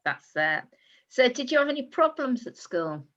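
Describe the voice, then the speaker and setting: A woman's voice: sits high, big pitch swings, moderate pace, a bit bright. Woman interviewer on slightly dull audio. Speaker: interview host. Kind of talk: casual interview questioning.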